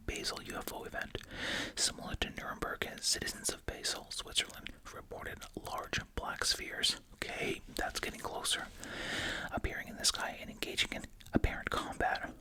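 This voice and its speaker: An ASMR host speaking in a breathy, unvoiced whisper, reading aloud and musing casually. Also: normal speed.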